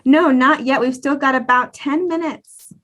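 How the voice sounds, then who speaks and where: A woman's voice: high voice, fairly even intonation, unhurried, a bit bright. A woman speaking casually and conversationally as the host of a museum program. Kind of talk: casual conversational hosting and storytelling.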